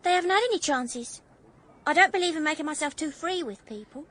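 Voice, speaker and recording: An actress with a working-class English accent playing a sharp, larky young Edwardian woman in a radio drama, blunt and bantering. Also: high-pitched, lively intonation, fairly quick. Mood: pert, defensive.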